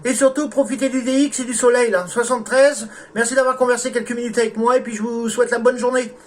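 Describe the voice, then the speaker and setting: A man's voice: very high voice, flat delivery, quick pace, a little hiss or hum. A man from France chatting casually as an amateur radio operator, with courteous sign-offs. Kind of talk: casual radio contact chat.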